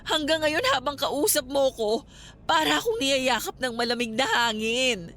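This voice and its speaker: An actress playing an exhausted, frightened young vlogger in a tearful Filipino radio drama. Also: female voice, high voice, animated, moderate pace, a bit bright. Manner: tearful, distressed.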